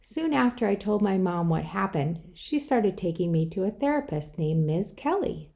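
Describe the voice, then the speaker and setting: A woman's voice: medium pitch, sing-song, normal speed, gravelly, darkish tone. A woman author reading her own children's book aloud, telling it in the first person. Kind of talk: scripted children's story read-aloud.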